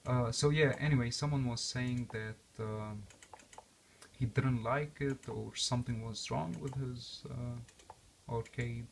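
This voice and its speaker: Young man with an accent, gravelly tone, relaxed chatty vlogger who rambles and restarts. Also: medium pitch, takes it slowly, a little hiss or hum. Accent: non-native English accent. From born and raised outside the US. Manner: rambling with many fillers and restarts. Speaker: vlogger reviewing a game console. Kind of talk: casual unscripted walkthrough.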